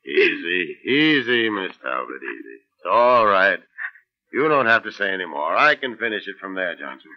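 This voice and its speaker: An actor playing a reassuring, authoritative police inspector with a deliberate manner in a 1940s radio drama. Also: male voice, sits low, sing-song. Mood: soothing. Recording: muffled old radio recording.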